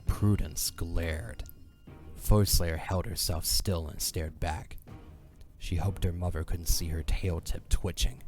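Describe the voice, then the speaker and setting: A man narrating a fantasy audio drama in a dramatic, scripted storytelling style. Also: deep voice.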